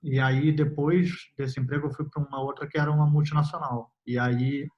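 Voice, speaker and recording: A young man, a software developer talking casually in an interview, relaxed and full of fillers, laughing easily. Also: on the high side, brisk. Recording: slightly muffled audio.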